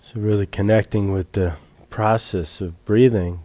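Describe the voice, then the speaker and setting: A man teaching guided meditation in a calm, measured way, leaving long pauses between phrases. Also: lowish voice, no echo. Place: retreat center meditation hall.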